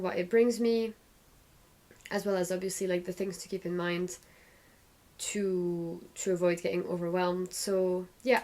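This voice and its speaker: A woman talks her way through a relaxed knit-and-chat, rambling, doubling back on her words and restarting sentences. Her voice has gone a little croaky, and she keeps knitting the whole time she speaks. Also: mid-range voice. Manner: rambling, self-correcting, chatty. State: slightly croaky voice. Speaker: knitting podcast host. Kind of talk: casual knit-and-chat vlog.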